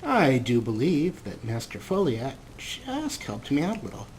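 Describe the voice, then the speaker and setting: A man's voice: medium pitch, huge pitch swings, moderate pace, darkish tone. A man hosting a let's play with casual, playful game commentary, wordy and wry, with a theatrical streak. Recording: clear background noise, game ambience.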